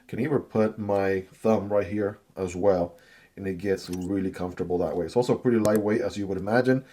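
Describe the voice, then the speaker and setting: Low-voiced man chatting through a knife review. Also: steady tone, fairly quick, mellow. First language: Chinese. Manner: chatty, repeats "right?" and "I gotta tell you". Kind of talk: casual product review.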